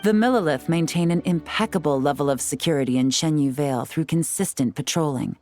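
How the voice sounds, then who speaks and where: A woman's voice: low voice, brisk, darkish tone, soft background music, close to an everyday voice. A woman playing a cool, shrewd, confident intelligence agent in a video game, speaking in an assured, instructive way.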